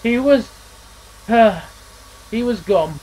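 A man's voice: extremely high pitch, animated, normal speed, fairly bright tone, a little hiss or hum, pitched above the performer's own voice, low-quality recording. A man voicing a cheerful, chatty male steam engine who spins folksy yarns and calls others mate. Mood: shaken.

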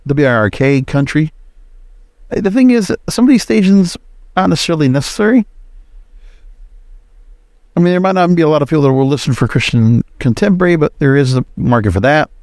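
A man's voice: a little high, lively intonation, fairly quick, pauses often, a little mellow, no room sound, clean studio sound. A man hosting a solo podcast, giving casual, rambling and opinionated commentary. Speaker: podcast host.

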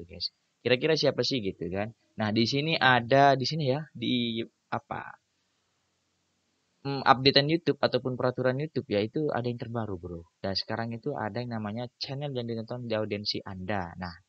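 A young man with a fairly low voice talks informally to his viewers. He repeats phrases as he goes, in a casual screen-recording style. The sound is dry and somewhat dull, recorded close up. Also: no echo. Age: young adult. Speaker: YouTuber reviewing channel earnings. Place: small room indoors at a computer.

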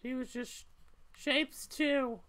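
Woman voicing a high-pitched, troubled young cat girl. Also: steady tone, takes it slowly, a bit bright. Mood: troubled, confessional. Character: troubled young female cat, weary and confessional. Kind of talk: amateur voiced playthrough of a story game.

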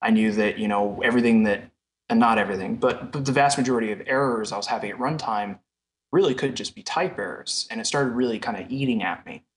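A man's voice: fairly low pitch, quick pace, low-quality recording. A man giving casual, explanatory answers as a programmer guest in a technical interview.

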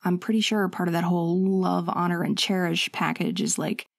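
A woman's voice: lowish voice, steady tone, normal speed, vocal fry, dry recording, studio quality. A woman playing a bossy, sassy but loving wife in an intimate ASMR audio roleplay, talking in a conversational, scolding and coaxing way. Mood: worried, caring.

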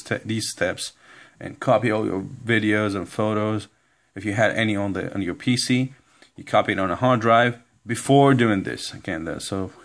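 A man giving an informal step-by-step computer tutorial in a halting way, reading out text as he goes. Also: on the low side, gravelly, a bit bright. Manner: halting, instructional, reads out on-screen text. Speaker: tutorial presenter. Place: indoor room, filming a laptop screen.

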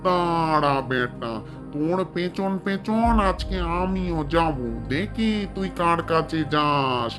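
Man voicing a slow, vengeful cartoon ghost woman. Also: on the high side, expressive, over music. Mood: spiteful. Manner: slow, drawn-out taunting. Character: vengeful female ghost, gloating and menacing, in cartoon.

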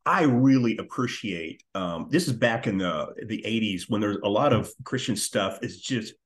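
A man's voice: lowish voice, lively intonation, moderate pace. An American man in his fifties hosting a casual video-call interview, effusive and emphatic. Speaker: interview host. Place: living room, indoors.

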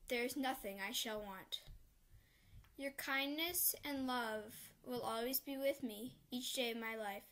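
A woman reads the psalm at mass in a calm, measured recitation, coming back to the refrain again and again. Her voice is a little higher than most women's. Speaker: lector. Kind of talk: reading the responsorial psalm.

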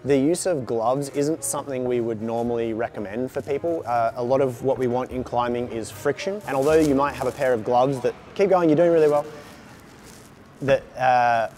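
A man's voice: mid-range voice, moderate pace, a little mellow, soft background music. A man in his thirties coaching a beginner climbing class in a large indoor gym, explaining and encouraging.

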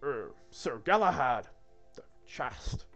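A man voicing an earnest, flustered young knight who protests politely and haltingly in a comic read-through. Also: mid-range voice, big pitch swings, fairly bright tone, highs cut off, faint music behind, exaggerated voice. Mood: flustered, reluctant.

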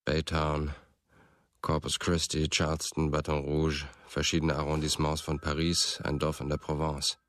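A man's voice: rumbling low, monotone, moderate pace, a bit bright. An actor playing a brooding, obsessive man, giving a grim, controlled, intimate inner monologue in a radio drama. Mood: grim, determined. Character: brooding, obsessive man narrating his dark thoughts.

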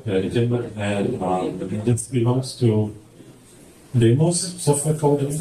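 A middle-aged man with a German accent, presenting slides at a conference in halting English with many fillers. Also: medium pitch, mellow. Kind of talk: presenting slides at a tech talk. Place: large conference hall with projector. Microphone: handheld mic. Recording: echoey hall, mic at distance.

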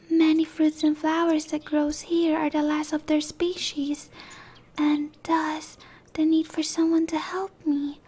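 A shy young dryad played by a woman speaks in a breathy ASMR roleplay whisper. The voice is high and quite even, moving slowly. The delivery is gentle, earnest and a little hesitant. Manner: hesitant, soft-spoken, earnest. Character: shy, gentle young female dryad, a tree-bound monster girl.

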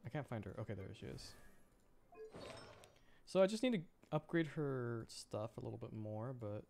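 A man's voice: mid-range voice, big pitch swings, normal speed, vocal fry, darkish tone. Man thinking aloud over background noise. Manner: thinking aloud, muttering to himself. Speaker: VTuber game streamer. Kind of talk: casual livestream gameplay chat.

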